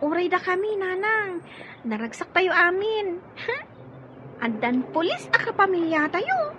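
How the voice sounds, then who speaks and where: A woman's voice: very high voice, very animated, takes it slowly, bright, forceful tone, slight background noise, full character voice. A woman with an Ilocano Filipino accent playing a cheerful, eager young little sister in an audio drama. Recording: dull lo-fi audio with music.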